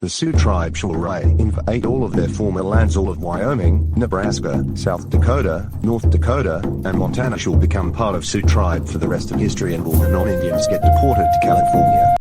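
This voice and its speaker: Male proclamation announcer, fairly deep, scripted decree read over blaring music. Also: expressive, moderate pace, hardly any pauses.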